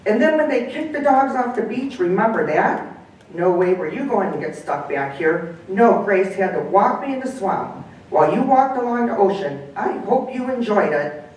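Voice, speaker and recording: A woman's voice: medium pitch, animated, moderate pace, darkish tone, pitched above the performer's own voice, natural voice. A woman voicing an aging, devoted male dog who needles his owner, recorded far off in an echoey room. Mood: reproachful. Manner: indignant, needling.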